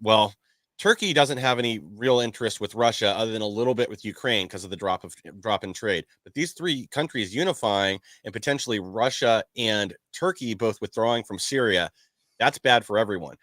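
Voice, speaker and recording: A man's voice: fairly low pitch, fairly quick, a bit bright, dry recording, clean studio sound. A man co-hosting a live stream, talking in a modest, easygoing way with some hesitation. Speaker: co-host. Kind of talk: casual live-stream commentary.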